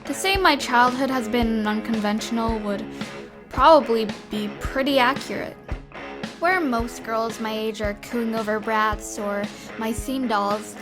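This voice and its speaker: A woman's voice: on the high side, unhurried, music in the background, close to an everyday voice. A woman playing a tough, precocious, foul-mouthed young vigilante girl in a comic dub, blunt and cocky. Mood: proud narration. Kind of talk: comic book dub acting.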